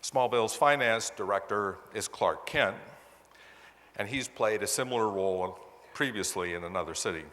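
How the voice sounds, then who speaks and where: A low-voiced man presents to a meeting in a long, ringing hall. His tone is forceful and bright, and he explains things step by step like a conference speaker. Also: moderate pace. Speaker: presenter introducing a dramatized case study. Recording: echoey hall with long reverb.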